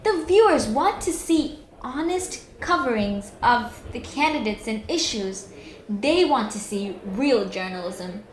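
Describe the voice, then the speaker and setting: A woman's voice: on the high side, lively intonation, unhurried, roomy sound, slight background noise. A woman playing an earnest, moralizing TV journalist in an audio drama, arguing emphatically and articulately. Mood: indignant. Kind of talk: amateur audio drama acting.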